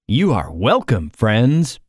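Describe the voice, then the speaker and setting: A woman's voice: a bass voice, wildly swooping pitch, slow, exaggerated voice. A woman voicing a friendly talking smartphone translator in a children's cartoon, showy and singsong as it teaches words. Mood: helpful. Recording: children's music under the voice.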